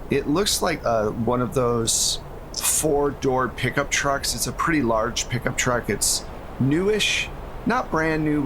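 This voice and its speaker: Male game master, casual narration, conversational, explanatory, medium pitch, clear rumbling background noise. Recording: steady low rumble and hum behind voice.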